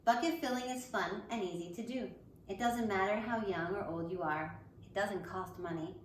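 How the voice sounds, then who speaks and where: The recording has a clear room echo and a faint layer of noise. In it, a woman speaks at a middling pitch as a schoolteacher addressing young children. She uses simple, encouraging phrases, in the manner of a picture book read-aloud. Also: normal speed. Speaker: elementary school teacher.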